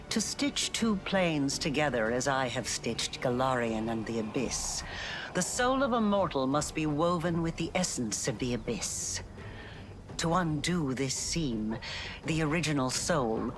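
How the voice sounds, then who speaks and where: An actress playing a solemn, cryptic scholar of forbidden magic in a video game, explaining things deliberately. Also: female voice, deep voice.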